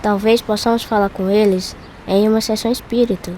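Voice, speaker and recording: A woman's voice: medium pitch, steady tone, brisk, warm tone, slight background noise, natural voice. A woman playing a curious young boy in a radio drama. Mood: wondering. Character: curious young boy, bereaved twin brother.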